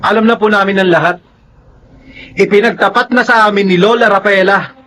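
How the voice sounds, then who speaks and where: High-voiced earnest young son. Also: male voice, fairly even intonation, a little fast, bright, forceful tone. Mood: confronting, earnest. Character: earnest, respectful young son standing up to father. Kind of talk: radio drama acting. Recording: faint background noise.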